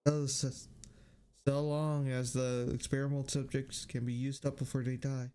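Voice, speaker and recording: A male game streamer reads lines aloud in a halting, subdued manner. His voice is smooth and dark, with little movement in pitch. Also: flat delivery. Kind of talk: reading game dialogue aloud on a livestream.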